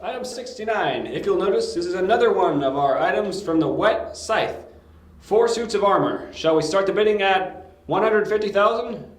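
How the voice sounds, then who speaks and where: A man playing a formal, brisk auctioneer announcing bids in an amateur audio drama. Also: high voice, expressive. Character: male auctioneer, brisk and formal, running bidding. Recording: echoey room.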